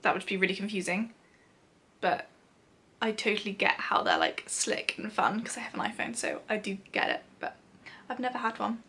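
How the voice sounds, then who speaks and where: A woman's voice: mid-range voice, normal speed, pushed and bright. A young British woman chatting casually as a vlogger, self-deprecating and giggly as she answers questions. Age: young adult. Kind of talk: casual chat to camera, answering a question list.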